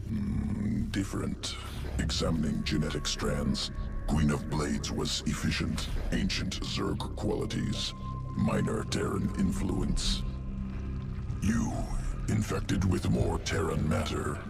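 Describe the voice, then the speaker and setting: An inhuman insectoid geneticist from a video game, cold and methodical. The voice is extremely low and heavily creaking, doled out slowly in clipped fragments. Music plays clearly behind it. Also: male voice, full character voice. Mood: cold, clinical. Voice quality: deep guttural gravelly croak. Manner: clipped telegraphic phrases, detached and methodical. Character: alien insectoid geneticist creature, cold, clinical, obsessive. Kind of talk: video game character dialogue.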